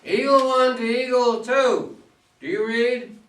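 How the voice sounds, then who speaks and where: Slow, high-voiced joking astronaut read by a man. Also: steady tone, fairly bright tone, heavily acted. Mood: businesslike. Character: joking, scatterbrained male astronaut on a moonwalk. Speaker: amateur reader in a staged play reading. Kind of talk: amateur play reading. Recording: echoey room, distant microphone.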